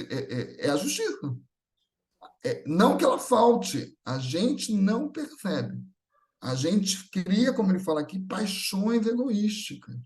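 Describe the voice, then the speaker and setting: A man's voice: high-pitched, darkish tone. A Brazilian man giving an extemporaneous religious talk in an earnest, reassuring manner, often building through repeated phrases. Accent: Brazilian Portuguese. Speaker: guest speaker at a Spiritist gathering.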